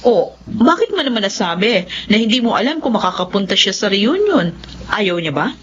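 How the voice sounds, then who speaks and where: An actress playing a chatty, curious former classmate planning a reunion in a radio drama. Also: female voice, mid-range voice, very animated, normal speed, muffled recording, slight background noise, close to an everyday voice.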